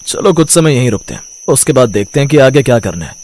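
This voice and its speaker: A man voicing a patient, reassuring father in a cartoon story, speaking in a measured way. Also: mid-range voice, sing-song, a little mellow, lightly acted. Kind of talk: cartoon moral story acting.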